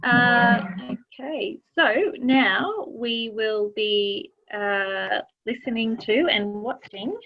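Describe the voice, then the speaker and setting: A woman hosting a webinar over a video call. She talks in an unhurried way and uses fillers as she moves between segments. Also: mid-range voice. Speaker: webinar host. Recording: dull video-call audio.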